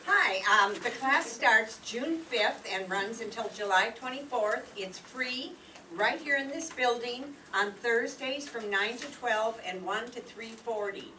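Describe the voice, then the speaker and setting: A woman's voice: on the high side, a little slow. An older woman, a teacher, giving an informal class announcement to an audience. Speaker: art teacher. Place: community meeting room with audience. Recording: distant mic, loud room noise.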